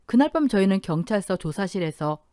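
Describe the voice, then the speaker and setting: A woman's voice: mid-range voice, big pitch swings, brisk, mellow, dry recording, studio quality. A woman narrating a dramatic first-person family story in an audio-drama style.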